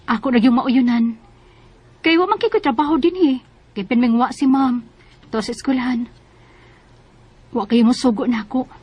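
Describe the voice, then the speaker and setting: High-pitched earnest young Bisaya housemaid. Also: female voice, a little mellow, husky. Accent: Filipino, Bisaya. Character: earnest, respectful young female student working as housemaid. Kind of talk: Filipino radio drama acting with some first-person narration.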